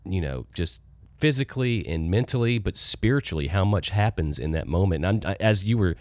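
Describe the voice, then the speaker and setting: A man with a very low voice that is hoarse from a cold, co-hosting a casual church podcast. He is agreeable and easygoing and chimes in with quick affirmations. The sound is dry and close to the mic. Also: expressive, darkish tone, no room sound. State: hoarse from being under the weather. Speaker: podcast co-host.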